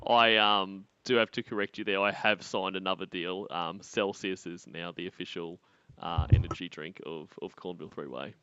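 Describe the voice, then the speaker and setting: A man's voice: low-pitched, steady tone, moderate pace, pushed and bright, clean tone, no echo. Man, mocking, laughs a lot, dry room, dull lo-fi sound. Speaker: co-host reacting to a stream. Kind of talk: casual banter. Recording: dull, dry home mic.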